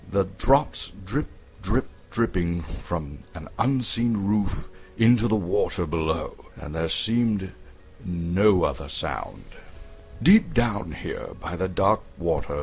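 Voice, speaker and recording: A man gives a dramatic, suspenseful storybook narration, theatrical and full of emphatic pauses. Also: low-pitched, slightly rough. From Canada. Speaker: actor reading an audiobook.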